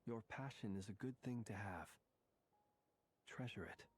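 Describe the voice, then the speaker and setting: World-weary game mystic: lowish, courteous, solemn, formal and gently grave. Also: male voice, unhurried, husky. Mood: gentle, solemn. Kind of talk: video game voice acting.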